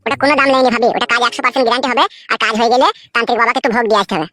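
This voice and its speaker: A woman's voice: on the high side, fairly even intonation, racing, a bit bright, dry recording, natural voice. A woman voicing a scheming young village man in a cartoon, speaking in a wheedling, rustic way. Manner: wheedling, rustic dialect speech. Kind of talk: cartoon voice acting.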